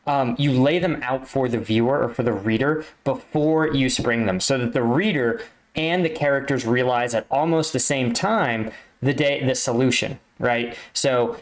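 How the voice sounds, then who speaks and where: A man's voice: mid-range voice, normal speed. Casual man explaining and critiquing. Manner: exasperated, explanatory, rhetorical "right?" tags. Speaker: reaction video host, army combat veteran.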